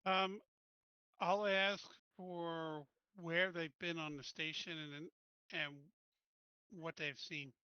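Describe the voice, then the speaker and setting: Male tabletop player, halting questions, higher bright voice, dry close sound. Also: steady tone, clean tone, no room sound. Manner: hesitant, questioning. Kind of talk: casual tabletop play. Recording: dull, lo-fi.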